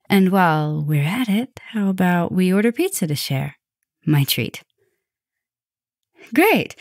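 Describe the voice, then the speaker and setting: A woman playing a tender, gently dominant vampire professor in an intimate ASMR roleplay, speaking softly and soothingly, often slipping toward a whisper. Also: on the low side, no room sound, studio quality, exaggerated voice. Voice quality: soft, breathy, often dropping to a whisper. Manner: slow, soothing, affectionate murmuring with pauses.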